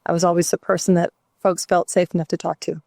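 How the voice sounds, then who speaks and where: A middle-aged woman from New Mexico, a somatic therapist talking reflectively in an interview, with many pauses and ums. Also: lowish voice, little pitch movement, vocal fry, a little mellow, no echo, clean studio sound. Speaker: guest, somatic therapist and author. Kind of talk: candid interview conversation.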